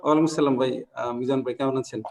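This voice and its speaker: A man's voice: mid-range voice, fairly even intonation, racing, darkish tone, long reverb tail. A man with a Bangladeshi accent, speaking in a friendly, urging way while leading a small online group discussion. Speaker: breakout group participant. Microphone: video call.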